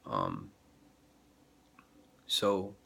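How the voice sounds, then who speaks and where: A man's voice: very deep voice, huge pitch swings, extremely slow, gravelly, pushed and bright, a little hiss or hum. A man with a cold talking in a rambling vlog about faith, full of fillers and restarts, sniffly and congested. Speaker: vlogger. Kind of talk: rambling religious vlog talk.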